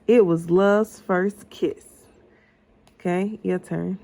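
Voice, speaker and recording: A woman's voice: fairly low pitch, steady tone, slow, gravelly, dark tone. A mother reading a children's story aloud, with casual asides to her child.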